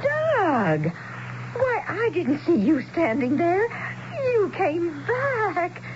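A woman's voice: extremely high pitch, huge pitch swings, extremely slow, heavily acted. A woman playing a kindly, wistful, aged small-town woman in a 1970s radio drama. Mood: moved, wistful. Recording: dull, faintly noisy radio broadcast.

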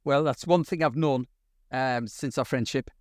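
A man presents as a podcast host and interviewer. His phrasing is formal and measured, in a medium-pitched voice. The sound is dry, with very little room around it. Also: no echo. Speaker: podcast host, university lecturer. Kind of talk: scripted introduction and interview questions.

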